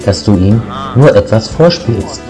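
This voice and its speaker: Guy doing a calm serious ghost hunter, deep-ish, music behind, audio drama. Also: unhurried. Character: calm, serious male ghost hunter, the level-headed one.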